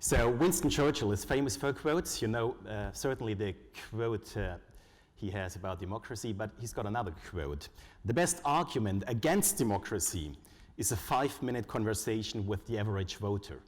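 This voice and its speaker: A man with a German accent giving a conference presentation, measured and full of "uh" fillers. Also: lowish voice, animated. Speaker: conference speaker. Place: conference hall. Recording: long reverb from a large hall.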